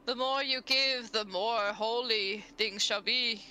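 A woman's voice: on the high side, slow pace, a little hiss or hum, poor audio. A woman with a slight lisp on her S sounds, chatting casually and reacting playfully while she plays a game. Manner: animated, playful, shouts at the game. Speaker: vtuber playing a game. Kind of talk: casual chat while gaming.

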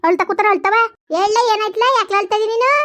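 A woman doing a shrill, foul-mouthed village elder sister. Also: fairly even intonation, quick pace, a bit bright. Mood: scolding. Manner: berating, abusive, hectoring. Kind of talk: village family drama skit.

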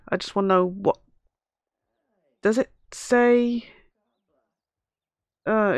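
Hoarse woman gamer, casual running commentary, chats to her game characters, dry close sound. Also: mid-range voice, dry recording. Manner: chatty, talks to the game characters. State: hoarse from a cough. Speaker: let's play host.

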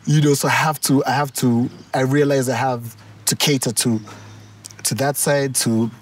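A man's voice: medium pitch, little pitch movement, moderate pace. Ugandan man talking thoughtfully outdoors. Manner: reflective, with frequent restarts and chuckles. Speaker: musician and guest of a music talk show. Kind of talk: relaxed conversational interview. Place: outdoors on a golf course.

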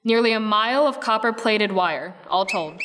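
Radio-play narrator, female actor: wry, measured storyteller, mid-range, noisy track. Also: mid-range voice, expressive, long reverb tail, lightly acted. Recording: noisy recording with clicks.

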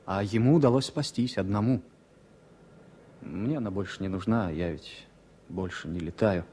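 An actor playing a fussy, superstitious former pilot as a passenger, hesitant yet insistent, in a 1970s Soviet radio play. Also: male voice, lowish voice, moderate pace, mellow, natural voice. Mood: insistent, wistful. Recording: faintly noisy vintage radio recording.

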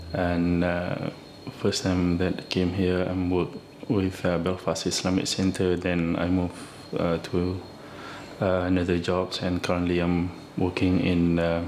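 A man in his forties from Malaysia speaks in a deep, gravelly voice that hardly rises or falls. He talks slowly and haltingly, filling gaps with pauses. The sound is roomy, with quiet guitar music underneath. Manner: hesitant, many fillers. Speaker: interviewee, father. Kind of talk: documentary interview. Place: home kitchen.

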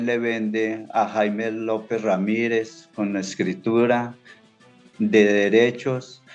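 An older man from Colombia, a farmer, telling his story slowly and hesitantly with many restarts. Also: lowish voice, a little mellow, muffled recording. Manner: slow, hesitant, plain-spoken, with many restarts. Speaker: interviewee, evicted farmer. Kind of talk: halting personal account. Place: small indoor room.

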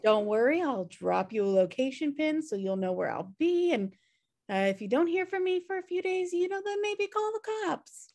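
A woman's voice: high voice, big pitch swings, normal speed, darkish tone. An American woman reading the part of a flippant, irresponsible twin sister in a sitcom script. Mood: dramatic, flippant. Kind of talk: sitcom script table read. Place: indoor room, on a video call. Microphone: video call microphone.

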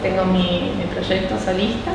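A woman speaks softly, pausing with fillers. She sounds far from the microphone in a bare room with heavy echo and background hiss. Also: fairly low pitch, level tone, gravelly. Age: 30s. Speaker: actress and singer. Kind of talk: casual interview. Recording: roomy echo, far from mic, noisy.

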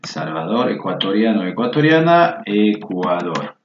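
A man's voice: on the low side, big pitch swings, a little slow, a little hiss or hum. A man teaching a Spanish lesson over a screen recording, patiently spelling out each word form aloud. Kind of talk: slow screen-share lesson walkthrough. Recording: roomy, dull lo-fi recording.

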